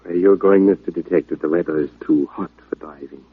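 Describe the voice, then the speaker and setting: An actor playing a pompous, villainous doctor, formal, smug and coolly menacing, in an old radio drama. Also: male voice, sits low, fairly quick, slightly rough, no echo. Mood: smug menace. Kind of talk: 1950s radio drama acting. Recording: narrow, tinny old-time radio recording.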